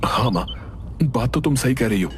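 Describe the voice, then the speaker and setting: A man's voice: medium pitch, animated, moderate pace, close to an everyday voice. A man playing an earnest, determined young village son in a Hindi cartoon story, speaking respectfully. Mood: puzzled. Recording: music behind the voice.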